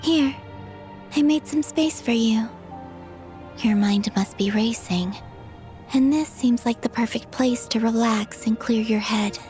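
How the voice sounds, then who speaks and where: A gentle, ethereal young woman from a video game cutscene, voiced by an actress. She speaks softly and wistfully, with a calm, dreamy serenity. Her voice sits a little high, and faint music plays behind her. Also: a little slow, close to an everyday voice.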